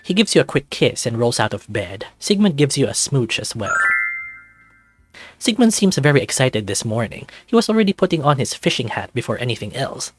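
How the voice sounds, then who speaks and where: A man reading a visual novel aloud as its narrator, in an expressive storytelling style. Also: medium pitch, a little fast, faint music behind.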